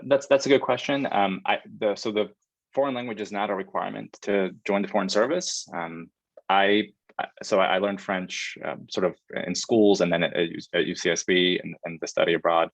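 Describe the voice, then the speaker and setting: A man's voice: sits low, steady tone, brisk, no room sound, poor audio. A man in his thirties, a Foreign Service officer speaking as a guest on a video call, answering questions in a hesitant way with many restarts. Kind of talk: casual panel Q&A answers.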